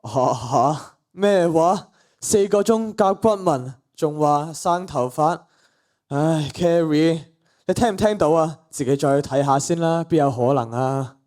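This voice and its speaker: A man's voice: a little high, unhurried, a little mellow, close to an everyday voice. A teenage boy with a Cantonese accent playing an exasperated, principled young content creator in a radio play, with a sighing, grumbling manner. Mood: incredulous. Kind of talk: student radio play acting.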